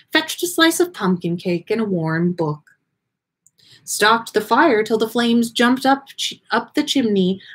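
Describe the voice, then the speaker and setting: Woman reading a story out loud, kinda husky, slow n dramatic, pitch goes all over. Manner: theatrical, expressive, with drawn-out words and pauses. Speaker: podcast host reading listener fan fiction on a livestream.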